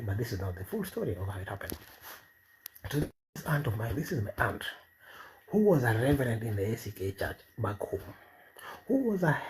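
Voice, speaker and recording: A Kenyan man in his fifties telling rambling personal stories in a casual monologue, animated and given to repeating words. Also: fairly low pitch, slight background noise, poor audio. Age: 50s. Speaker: vlogger, comedian and doctor.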